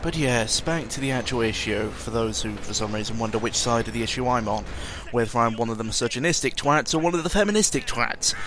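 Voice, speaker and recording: A man's voice: medium pitch, fast talker, few pauses, background noise. A man giving a casual, rambling audio-log monologue as host, sardonic and cynical, often interrupting himself and swearing freely.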